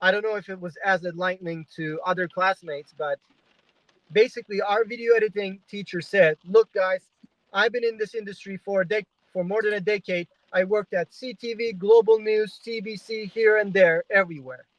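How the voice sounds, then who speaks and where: A man's voice: high-pitched, fairly even intonation, normal speed, fairly bright tone, dry recording, highs cut off. A man in his twenties who grew up in Turkey, telling his story as a livestream guest in a chatty way with frequent fillers. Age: 20s. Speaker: guest journalist. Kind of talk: livestream interview. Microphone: video call.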